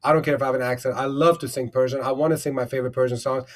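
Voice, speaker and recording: A man's voice: medium pitch, steady tone, racing. A man hosting a livestream over a video call, talking in an effusive, rambling way with many fillers and restarts. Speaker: livestream host. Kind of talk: casual livestream chat.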